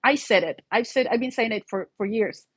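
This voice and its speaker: A woman's voice: a little high, fairly quick, fairly bright tone, breathy edge. A woman from Mexico with a Mexican accent and Spanish as her first language hosts an informal solo vlog, talking in a rambling, conversational way and often checking for agreement.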